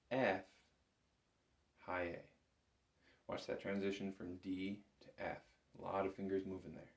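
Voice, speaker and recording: Male music instructor, deep voice, informal step-by-step teaching delivery, echoey room sound. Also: darkish tone. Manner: informal, instructive, counting out notes. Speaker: bagpipe instructor.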